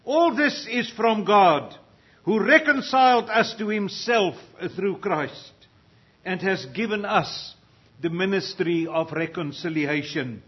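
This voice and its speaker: A man's voice: sits high, expressive, slightly rough. An older man from Africa, preaching a sermon earnestly and reading scripture aloud. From South Africa. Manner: slow, earnest delivery, rising in volume for readings. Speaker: pastor. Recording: dull lo-fi sound.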